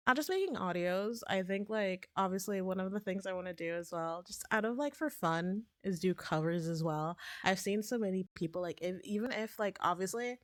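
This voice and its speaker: A woman streamer in casual, rambling chat, her voice a bit raspy as if she is getting sick, with lots of fillers and restarts. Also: medium pitch, a bit bright, no echo, clean studio sound. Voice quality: slightly raspy.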